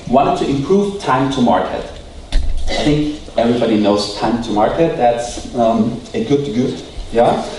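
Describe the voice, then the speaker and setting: A man from Austria with an Austrian German accent, giving a relaxed keynote talk with some hesitant fillers. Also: high voice, a little hiss or hum. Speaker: conference speaker. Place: large hall. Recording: echoey hall from far off.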